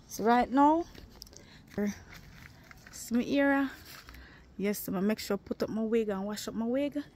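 A woman's voice: fairly high pitch, a little slow, darkish tone, a little hiss or hum. Chatty woman filming on her phone. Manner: chatty, talks straight to viewers while eating. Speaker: vlogger. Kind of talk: casual cooking vlog chat. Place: outdoors under a tin-roofed shed in a yard. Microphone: phone held at arm's length.

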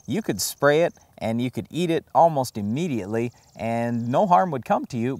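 A man's voice: mid-range voice, darkish tone, no echo. Young male farm show co-host, practical and advising, dry recording. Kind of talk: scripted outdoor farm show chat. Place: outdoors in a field.

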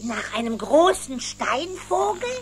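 Very high-pitched curious boy duckling. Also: sing-song, takes it slowly, slight background noise, heavily acted. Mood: curious, puzzled. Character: curious young boy duckling, eager cartoon nephew. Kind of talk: cartoon audio drama acting. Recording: echoey hall with clear music. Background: music.

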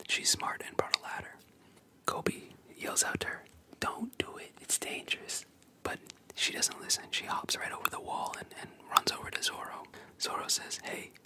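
A storyteller whispering in an unvoiced, breathy ASMR style, retelling a story with casual asides and read-out dialogue. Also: rough recording. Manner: casual asides between quoted lines.

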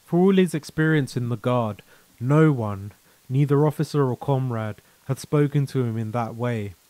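A man's voice: medium pitch, normal speed, mellow, no echo. Man narrating fiction, dry close sound. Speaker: storyteller, narrator. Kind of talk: scripted story narration.

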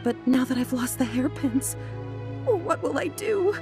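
An anxious young gentlewoman of a merchant family in a video game. She speaks high, with a fretful, hesitant politeness. Music plays clearly behind her. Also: lively intonation, measured pace. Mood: distressed.

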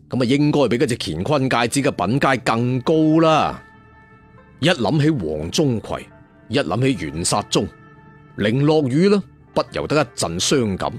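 Animated male storyteller, lively pitch. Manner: chatty storyteller asides, drawn-out particles. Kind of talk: colloquial dramatic scripted narration of a fantasy tale. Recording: music behind the voice. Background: background music.

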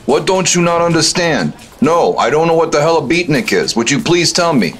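An actor playing an irritable, argumentative junior government agent in a comic radio drama. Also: male voice, fairly high pitch, very animated, moderate pace, a bit bright, a little hiss or hum. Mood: exasperated. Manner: exasperated, argumentative. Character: irritable, teasing male junior special agent.

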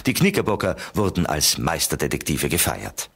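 A man's voice: rumbling low, big pitch swings, moderate pace, gravelly, full character voice. A man narrating a children's audio drama as a scripted storyteller.

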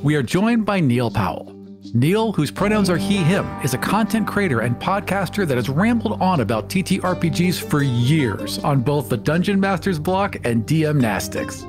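Very animated male podcast host. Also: a little high, normal speed, music in the background. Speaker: podcast host, writer and producer. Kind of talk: podcast hosting, scripted intros and chat.

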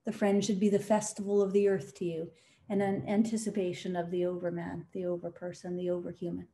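A woman presenting an academic talk on a video call, measured and quoting philosophers. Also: lowish voice, little pitch movement, warm tone. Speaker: conference panelist.

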